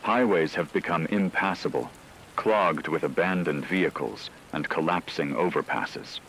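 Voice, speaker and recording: A man acting as a radio news anchor, delivering scripted breaking news in a grave, formal, measured manner. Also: deep voice, a bit bright, slight background noise, rough recording. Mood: grave, urgent. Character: grave male radio newsman reporting an apocalypse.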